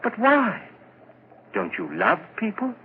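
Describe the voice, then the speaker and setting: Gentle idealistic man, earnest and hesitant, very wide swooping intonation, tinny old radio drama sound. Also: high voice, wildly swooping pitch, takes it slowly, slightly rough, exaggerated voice. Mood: troubled pleading. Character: gentle idealistic man with uncannily keen hearing. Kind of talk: 1940s radio horror acting.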